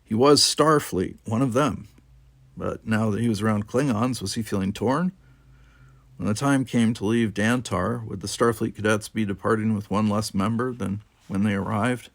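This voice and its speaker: A man's voice: fairly low pitch, moderate pace, no echo. A man reading an adventure novel aloud as dramatic, scripted narration. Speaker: audiobook reader.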